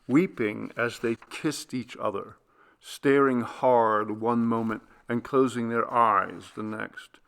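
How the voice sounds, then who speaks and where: A man reading a literary ghost story as a solemn, deliberate, dramatic audiobook narrator. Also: medium pitch, creaky, a bit bright. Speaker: audiobook reader.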